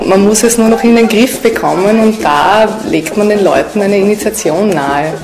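A woman's voice: mid-range voice, normal speed. A woman speaking as an interviewed expert, explaining her field in spontaneous talk. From Austria. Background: background music.

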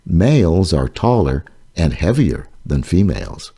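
A man reading his own children's nonfiction book aloud, slowly and carefully. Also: deep voice, very animated, warm tone. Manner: slow, careful, clearly paced reading. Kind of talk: read-aloud narration for children.

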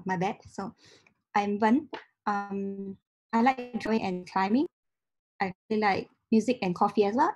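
A woman's voice: mid-range voice, darkish tone, breathy edge, low-quality recording. A young woman whose first language is Vietnamese, giving a casual talk on a video call and explaining step by step with many ums. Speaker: meetup speaker. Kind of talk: casual tech-meetup talk with slides. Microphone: video call webcam.

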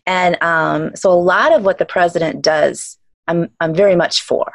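A woman's voice: on the low side, fairly even intonation, normal speed, vocal fry, no room sound. A woman in her forties hosting a video call interview, talking conversationally with many fillers. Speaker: interview host. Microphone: video call webcam.

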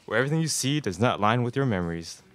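A man's voice: medium pitch, lively intonation, quick pace, a bit bright, slight background noise, close to an everyday voice. A young man playing a solemn, ominous narrator of a science fiction trailer parody. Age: young adult. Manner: solemn, declamatory. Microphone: microphone on stand.

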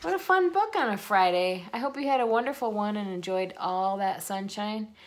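A middle-aged woman reading a children's picture book aloud in a deliberate storytelling way, recorded on a phone at home. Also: mid-range voice, expressive. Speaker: read-aloud host. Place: indoors in a room at home.

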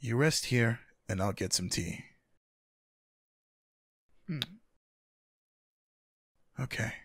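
A man playing a tender, teasing, sleepy lover in an intimate boyfriend ASMR roleplay, speaking softly and affectionately in a husky, gravelly voice. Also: mid-range voice, lively intonation, no room sound, clean studio sound, heavily acted. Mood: caring. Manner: soft, affectionate, unhurried. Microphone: close microphone, dry.

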